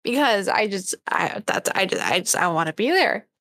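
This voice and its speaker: A woman in her twenties chatting casually as a podcast co-host, animated and informal in the way she talks. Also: medium pitch, moderate pace, dry recording, clean studio sound. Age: 20s. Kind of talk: casual chat.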